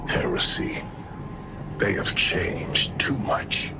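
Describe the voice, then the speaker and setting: A man's voice: sits low, sing-song, extremely slow, in a whisper, over loud music, heavily acted. A man playing a proud, menacing undead alien war god in a video game, brooding and disdainful, with formal speech. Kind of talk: dramatic video game dialogue.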